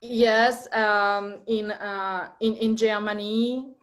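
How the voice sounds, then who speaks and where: A woman's voice: medium pitch, fairly even intonation, extremely slow. Hesitant French-accented woman. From France. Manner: hesitant, many uhs and repeated words. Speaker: guest French teacher.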